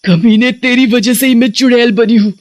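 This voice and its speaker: A woman voicing a vengeful young witch ghost who threatens and taunts in an animated horror story. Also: fairly high pitch, normal speed, dry recording, pitched above the performer's own voice, natural voice. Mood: angry, vengeful.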